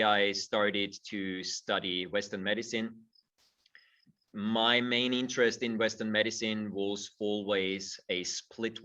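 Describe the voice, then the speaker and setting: A man's voice: on the low side, pushed and bright, distant mic, muffled recording. A middle-aged man from Austria, a guest in a conversational interview who speaks thoughtfully and repeats words as he starts his phrases. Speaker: guest, movement teacher.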